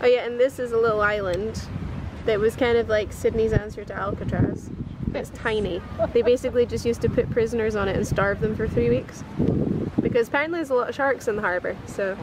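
A woman's voice: fairly high pitch, steady tone, fairly quick. A young woman vlogging her sightseeing day outdoors, camera held at arm's length, talking in a chatty, rambling way full of "like". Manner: chatty, rambling, heavy use of "like". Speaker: travel vlogger. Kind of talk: casual travel vlog chat. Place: outdoors by a sunny harbour. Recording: loud wind noise outdoors.

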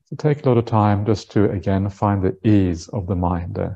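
A man in his fifties from Norway, a meditation teacher, gently guiding a meditation in an unhurried, halting way with frequent pauses and uhs. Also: fairly low pitch, gravelly, highs cut off. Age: 50s. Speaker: Buddhist monk, meditation teacher. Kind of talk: guided meditation instruction.

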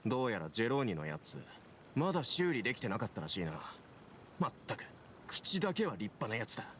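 A young man acting a brisk, earnest rail-station keeper in an anime game, with matter-of-fact, gruff casual phrasing. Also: mid-range voice, big pitch swings, a little hiss or hum. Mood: exasperated. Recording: loud game music behind.

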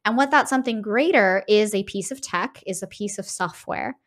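A woman's voice: mid-range voice, moderate pace. A woman co-hosting a podcast in analytical conversation, emphatic and often checking in with tag questions. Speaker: podcast co-host.

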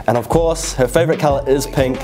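Young kiwi guy telling his vlog story all pumped up, mid pitch, lapel mic, music playing under. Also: a little fast. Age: young adult. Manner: earnest, excited storytelling. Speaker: fitness YouTuber. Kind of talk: upbeat vlog narration to camera. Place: indoor living room, seated. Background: background music.

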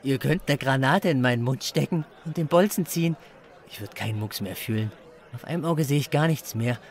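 A man's voice: mid-range voice, brisk, voice pushed up, lightly acted. A man playing a crude, despairing chili judge who complains melodramatically in a comic story. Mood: resigned despair.

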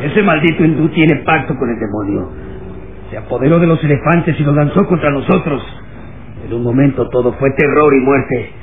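A man's voice: medium pitch, normal speed, close to an everyday voice. An actor playing a frightened, agitated male overseer in a theatrical old radio drama. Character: frightened, cowardly slave overseer, male henchman. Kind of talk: vintage radio drama acting. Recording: tinny, noisy old radio recording.

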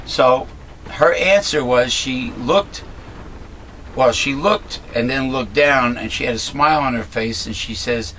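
A middle-aged man with a raspy voice speaks at an ordinary pitch straight into a desk webcam. He rambles in a casual monologue, full of "uh"s and restarts, and voices blunt opinions. A faint hum of noise sits behind him in a small room at home. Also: measured pace, fairly bright tone, rough recording. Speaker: host of a political commentary channel. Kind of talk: casual monologue, talking to camera.

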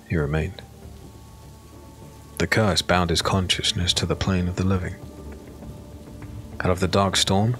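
A man giving solemn, measured, dramatic narration of a dark fantasy audiobook. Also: low-pitched. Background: music.

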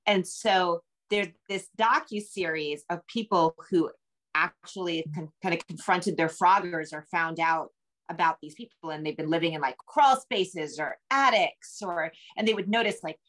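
Casting: American woman, middle-aged, slightly low voice, informal and halting, an author chatting on a video call. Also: fairly bright tone, rough recording. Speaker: novelist and screenwriter guest. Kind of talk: casual conversational explaining. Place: room with bookshelves, indoors.

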